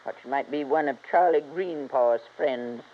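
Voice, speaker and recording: An actress playing a stubborn, feisty old widow with a rural American accent and a folksy, bossy manner in a vintage radio drama. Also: female voice, extremely low pitch, moderate pace. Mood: confiding. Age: older. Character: feisty, stubborn old frontier widow guarding her mine. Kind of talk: 1950s radio drama acting. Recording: muffled, noisy vintage radio recording.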